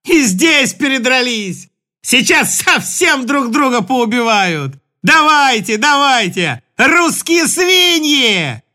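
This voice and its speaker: A man voicing a scornful woman who screams and jeers in slow, drawn-out shouts. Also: very high voice, very animated, voice pushed up, exaggerated voice.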